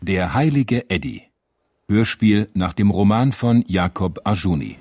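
Low-voiced male radio play announcer. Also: lively intonation, measured pace. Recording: loud music under the voice.

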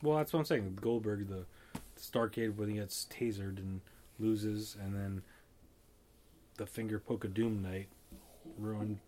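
A man's voice: lowish voice, steady tone, a little slow, long pauses, dark tone, slight background noise. Rambling man in casual chat. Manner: rambling, thinking aloud with fillers and restarts.

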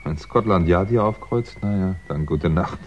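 An actor playing a wry, understated fugitive crime writer in a 1960s German radio play. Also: male voice, deep voice, normal speed, lightly acted. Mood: weary, muttering. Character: fugitive crime writer, dry, weary, polite man on the run. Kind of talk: 1960s German radio play acting and first-person narration. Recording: dull, noisy 1960s radio recording.

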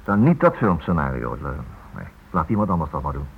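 An actor playing an idealistic, stubborn writer husband in a radio play, talking quickly over himself in a halting, hesitant way. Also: male voice, very deep voice, big pitch swings, vocal fry, a little hiss or hum, full character voice. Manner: hesitant, halting with repeated words. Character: idealistic writer husband, absent-minded, evasive yet stubborn. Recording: thin phone-like old radio recording.

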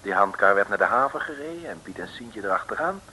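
Wry male radio narrator, bright forceful voice. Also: lowish voice, animated, fast talker, poor audio. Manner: wry, chatty storytelling. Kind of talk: scripted radio serial narration. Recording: vintage radio recording with background noise.

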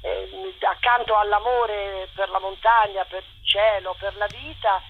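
A woman directing a play, talking in a radio interview in an animated, rambling way full of restarts. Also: mid-range voice, measured pace, vocal fry, phone-quality sound, a little hiss or hum. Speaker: theatre director.

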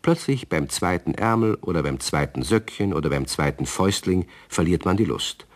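Deep-voiced man narrating a children's story. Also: moderate pace. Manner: calm, storytelling, addresses young listeners.

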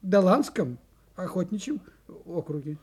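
An excitable, blustering butcher in a storybook audio play. His voice is mellow and husky, and he speaks in a fussy, emphatic, courteous townsman's way. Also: sits high, normal speed, exaggerated voice. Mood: astonished. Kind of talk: children's audio play acting.

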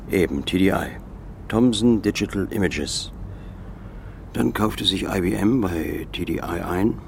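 A cagey informant, lowish voice, faint hiss, radio drama. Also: male voice, gravelly. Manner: measured, explanatory, cryptic. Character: guarded male informant, tense and cryptic.

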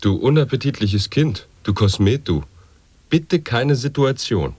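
A man acting a brooding speaker of absurd word puzzles in an avant-garde radio play, with deliberate, weighty delivery. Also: mid-range voice, lively intonation, darkish tone, slightly rough, dry recording. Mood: stern. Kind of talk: avant-garde radio play monologue of sound poetry and wordplay.

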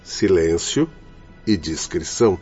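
An actor playing a firm, solemn, admonishing hospital attendant in a Brazilian radio drama. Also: male voice, low voice, animated, slow, mellow. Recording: muffled radio drama with faint music.

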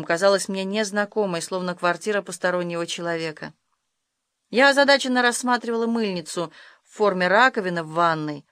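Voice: mid-range voice, a bit bright, dry recording, clean studio sound. An audiobook narrator reading first-person literary prose from a script.

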